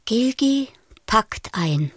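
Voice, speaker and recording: A woman's voice: a little high, animated, very slow, husky, no echo, heavily acted. A woman narrating a scripted German radio play in short, clipped phrases.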